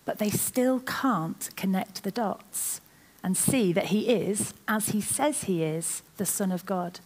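A woman's voice: medium pitch, lively intonation, takes it slowly, a little mellow. A woman giving a sermon in church into a microphone, opening with a chatty, self-deprecating story. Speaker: preacher.

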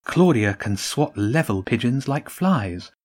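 A man voicing a proud female cat ruler who speaks calmly and with bored scorn. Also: medium pitch, takes it slowly. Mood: scornful.

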